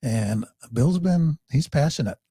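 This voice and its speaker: Chatty guy hosting an interview, warm low voice, kinda husky. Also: lively intonation, a little slow, no echo, clean studio sound. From Michigan. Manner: friendly, chatty questioner. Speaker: podcast host. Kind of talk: casual interview.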